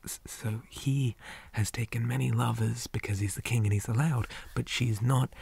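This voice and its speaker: A man playing a shy, old-fashioned zombie boy on a date in an ASMR roleplay, speaking softly and breathily, often near a whisper, with hesitant stammers and formal wording. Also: mid-range voice, fairly even intonation, normal speed, vocal fry, breathy edge. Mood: shy, excited. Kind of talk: ASMR roleplay, soft half-whispered talk.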